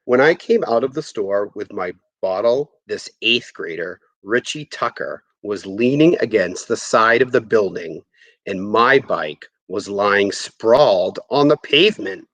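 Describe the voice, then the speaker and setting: A man reading a first-person story aloud in an expressive, conversational way. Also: lowish voice, a little slow, no echo. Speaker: audiobook reader.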